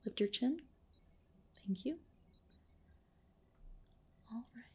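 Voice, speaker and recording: A woman whispering softly and breathily in an ASMR roleplay as a spa skin specialist, gently explaining and reassuring. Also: on the high side, animated, drawn-out words, breathy edge. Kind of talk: ASMR roleplay, personal attention.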